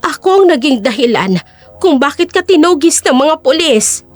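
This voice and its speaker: A woman's voice: high voice, big pitch swings, moderate pace, faint music behind. A woman playing a remorseful, humble elderly woman in an audio drama, speaking apologetically. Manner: apologetic, solemn.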